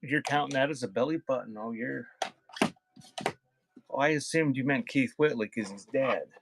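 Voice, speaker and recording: A man's voice: mid-range voice, moderate pace, gravelly. A man hosting a casual live sale, reading out titles and chatting off the cuff with viewers. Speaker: online seller hosting a live cassette sale. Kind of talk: casual live-stream chat while reading out album titles.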